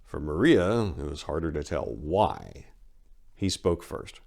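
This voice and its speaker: A man's voice: extremely low pitch, sing-song, slow pace, creaky, darkish tone. A man narrating a crime story as a dramatic reading.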